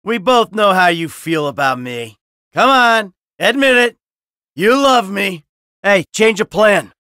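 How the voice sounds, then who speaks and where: A man's voice: high voice, lively intonation, slow, pushed and bright, smooth, no room sound, studio quality, exaggerated voice. A man voicing a cynical, brash middle-aged American criminal in a video game, sarcastic and foul-mouthed. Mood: smooth, persuasive. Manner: sardonic, brash, swearing.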